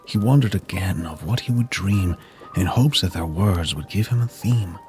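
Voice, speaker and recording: Very deep man narrating rhymed verse. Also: moderate pace, a little mellow. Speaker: narrator of a Christmas poem. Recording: music bed under the voice. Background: music.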